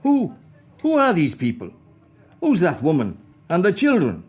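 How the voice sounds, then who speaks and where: A man's voice: sits high, wildly swooping pitch, unhurried, a little mellow, husky, soft background music, full character voice. A man from an amateur radio play voicing a frightened, humbled old miser. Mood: pleading. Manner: pleading, shaken.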